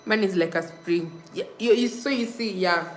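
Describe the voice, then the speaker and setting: A woman's voice: mid-range voice, moderate pace, a little hiss or hum. Young Kenyan woman chatting on a livestream. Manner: repeats thank-yous and subscribe reminders, reads comments aloud. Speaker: livestream host. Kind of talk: casual live-stream chat with viewers.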